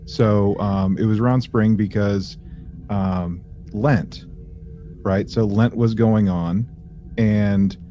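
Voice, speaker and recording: A man's voice: fairly low pitch, fairly even intonation, slow pace, mellow, dull audio, faint music behind. A man co-hosting a podcast in casual conversation, rambling and joking in slangy everyday language. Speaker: podcast co-host. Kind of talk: casual chat and anecdotes between friends.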